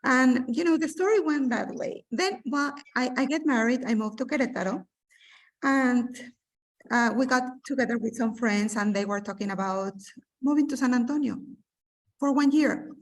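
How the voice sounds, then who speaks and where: Female guest from Mexico, second-language speaker, animated storyteller with many fillers; distant video call sound. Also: sits high, moderate pace. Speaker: interview guest, businesswoman. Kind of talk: personal storytelling interview. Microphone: remote video call.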